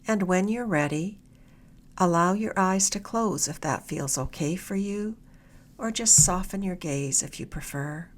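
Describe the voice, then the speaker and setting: Female meditation guide, slightly low register, soft and a touch breathy, gentle unhurried delivery with long pauses. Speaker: meditation guide, mindfulness mentor.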